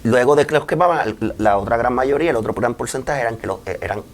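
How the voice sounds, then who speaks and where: A man co-hosting a casual panel podcast in a relaxed way, with frequent agreeing interjections. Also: mid-range voice, quick pace. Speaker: podcast co-host.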